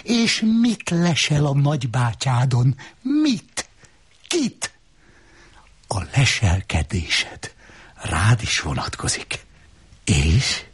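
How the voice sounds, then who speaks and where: A man voicing a middle-aged woman who mocks and scolds in a shrill, reproachful way. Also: husky.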